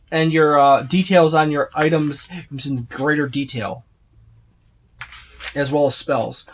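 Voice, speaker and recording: Casual, rambling tabletop rulebook reviewer. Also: medium pitch. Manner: rambling, repetitive, emphatic itemizing. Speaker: tabletop game book reviewer. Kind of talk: casual unscripted overview of a rulebook.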